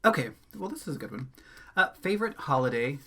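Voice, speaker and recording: A man's voice: a little high, very animated, bright, forceful tone, slight background noise. A man in his thirties co-hosting a book channel, chatting casually and adding short, dry asides. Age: 30s. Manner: brief, dry asides with quick back-channel replies. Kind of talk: casual chat.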